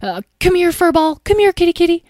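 A woman voicing an excitable, apologetic boy adventurer in a children's story, with an earnest, flustered, rambling manner. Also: very high-pitched, brisk, dry recording, clean studio sound, pitched above the performer's own voice. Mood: coaxing.

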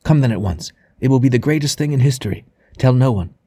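A man reading the part of an excited, commanding husband in a novel, speaking in brisk, urgent, clipped phrases. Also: mid-range voice, slightly rough, no room sound, clean studio sound, voice pushed up.

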